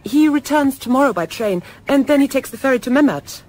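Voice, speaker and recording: A woman's voice: high-pitched, animated, a little fast, darkish tone. An actress playing a frank, friendly young woman in a radio play. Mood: friendly, bright.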